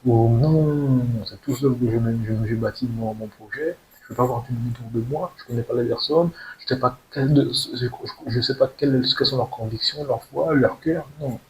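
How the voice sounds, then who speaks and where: A man's voice: mid-range voice, a little fast, hardly any pauses. A young man speaks off the cuff in an earnest personal monologue, hesitating and often repeating words as he goes. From French Guiana. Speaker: video creator telling his own story. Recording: steady hiss, noisy amateur recording.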